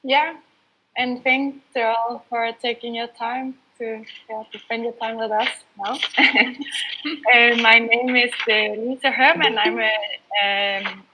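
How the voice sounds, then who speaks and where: A woman from Denmark whose first language is Danish, speaking English as a freelance journalist on a panel, hesitant and full of fillers, trailing off softly. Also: on the high side, steady tone, slow pace, dull audio, a little hiss or hum. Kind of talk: self-introduction on a panel. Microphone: video call.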